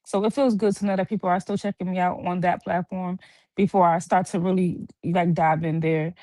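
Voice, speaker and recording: A woman's voice: lowish voice, level tone, a little fast, warm tone, no room sound. A woman in her thirties, a guest on a video call chat, talking in an earnest, reflective way while telling her own story. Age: 30s. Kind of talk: casual chat. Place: hospital.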